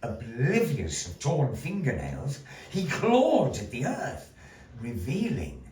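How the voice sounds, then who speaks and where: A man telling a dramatic adventure tale to a live audience, slow and theatrical, with playful asides. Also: medium pitch, wildly swooping pitch, darkish tone. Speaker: puppet show storyteller. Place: small gallery room with audience. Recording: roomy echo, distant mic, faint noise.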